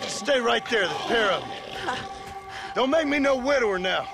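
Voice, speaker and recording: An actor playing a gruff, sardonic Old West gunslinger and family man with an American accent, folksy and commanding, in a video game. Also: very high-pitched, expressive, normal speed, music in the background, rough recording. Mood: stern, wary. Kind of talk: dramatic video game acting.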